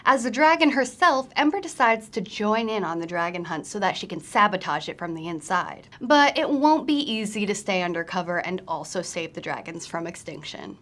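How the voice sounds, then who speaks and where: A woman's voice: medium pitch, animated, moderate pace, hardly any pauses, fairly bright tone. A woman hosting a book recommendation video, speaking in a scripted presenter style to viewers. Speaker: host of a book recommendation series.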